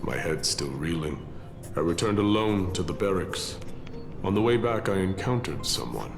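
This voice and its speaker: An actor playing a weary, philosophical warrior in a video game, speaking in a solemn, measured, grandiloquent way with a gravelly voice. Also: male voice, deep voice, lively intonation, music in the background. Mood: somber, reflective. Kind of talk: dramatic game voice acting, storytelling monologue.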